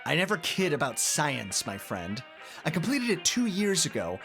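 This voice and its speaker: Man playing higher-voiced, grandiose eccentric inventor. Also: wildly swooping pitch, normal speed, gravelly, fairly bright tone, heavily acted. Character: eccentric, proud amateur inventor, old college buddy. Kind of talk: indie audio drama acting. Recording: music under the voice. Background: music.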